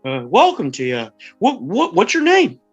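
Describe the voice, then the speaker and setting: A woman's voice: medium pitch, huge pitch swings, normal speed, husky, dull audio, faint music behind. Woman playing a tipsy, stammering small-town mayor. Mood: flustered, welcoming. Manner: stammering, rambling, folksy. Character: friendly, tipsy forty-year-old small-town mayor, a man. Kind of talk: screenplay table read.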